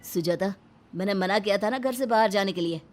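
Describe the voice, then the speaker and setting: A woman's voice: medium pitch, brisk, faint music behind, close to an everyday voice. A woman voicing a domineering Indian husband in a moral story, scolding and commanding. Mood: angry, scolding. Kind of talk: dramatic moral-story dialogue.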